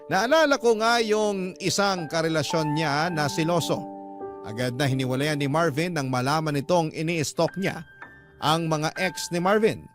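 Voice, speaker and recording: An actor narrating a radio drama as a remorseful, jealous spouse, in an earnest confessional way. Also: male voice, a little high, moderate pace, faint music behind, lightly acted. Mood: reflective, regretful. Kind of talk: scripted first-person radio drama narration.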